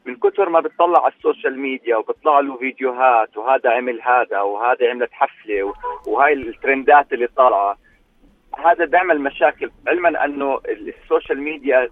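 A man calls in with a firm opinion, stumbling and restarting as he pushes his point. He talks quickly at a middle pitch. The call comes through a thin, phone-like line. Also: no room sound. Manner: emphatic, halting with restarts. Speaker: caller.

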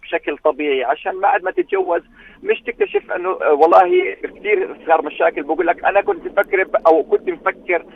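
A man calls a radio show and makes an emphatic point, halting and restarting. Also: high voice, wildly swooping pitch, gravelly, a little hiss or hum. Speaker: caller. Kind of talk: phone-in opinion. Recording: tinny phone line.

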